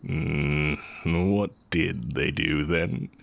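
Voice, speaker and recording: Wicked sorcery professor male actor: extremely low, slow, gravelly drawl, smug and sardonic, for romance audio roleplay. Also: steady tone, fairly bright tone, exaggerated voice. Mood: teasing. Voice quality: very deep, creaky. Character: evil male magic teacher, heretic, smug, amused, fond of former student.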